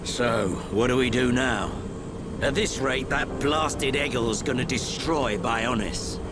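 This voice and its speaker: An actor playing a gruff, blunt, hearty old campaigner of a soldier in a game. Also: male voice, mid-range voice, slow pace, very noisy, close to an everyday voice. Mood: grim, irritated. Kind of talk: video game cutscene acting. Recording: loud music behind.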